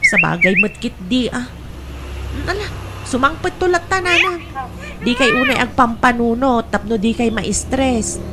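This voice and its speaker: An actress plays a wistful, fretful Filipino sister for radio drama. Her fairly high voice moves up and down a great deal. Loud noise sits behind the recording. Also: expressive, a little slow. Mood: worried. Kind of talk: Ilocano radio drama acting.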